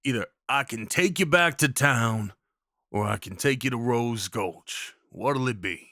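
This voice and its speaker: Man acting slow-talking folksy cowboy, bright voice. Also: medium pitch, no room sound, studio quality. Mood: soothing. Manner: folksy drawl, gentle and reassuring. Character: rugged, kindly young cowboy of the Old West. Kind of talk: roleplay audio drama acting.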